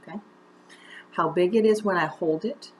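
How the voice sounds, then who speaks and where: Woman, drama teacher with a low-pitched voice, instructing step by step in a conversational way; slight background noise. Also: normal speed, darkish tone. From United States. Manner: conversational, encouraging step-by-step instruction with direct questions. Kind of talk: instructional video mini-lesson.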